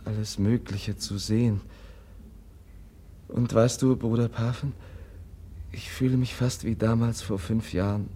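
An actor in a German radio play, playing a gentle, frail young prince who speaks softly, earnestly and hesitantly. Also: male voice, lowish voice, slightly rough. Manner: soft, hesitant, searching.

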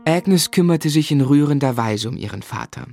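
An actor playing a grown man who reflectively narrates his boyhood in a German radio drama, in a measured literary delivery. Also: on the high side, lively intonation, vocal fry, darkish tone, faint music behind.

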